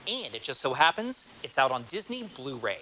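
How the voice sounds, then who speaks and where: A man's voice: medium pitch, sing-song, normal speed, sharp tone, slight background noise. An American man presenting scripted film segments as a TV reporter, with a polished promotional delivery. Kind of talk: scripted broadcast narration. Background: music.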